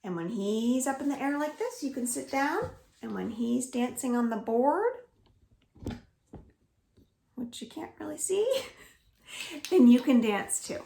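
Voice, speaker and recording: A woman hosting a children's storytime from home, reading aloud expressively with deliberate, dramatic emphasis for young listeners. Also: high voice, lively intonation, creaky, dark tone, slight background noise. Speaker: library storytime host. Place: indoors at home. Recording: roomy, echoing home recording.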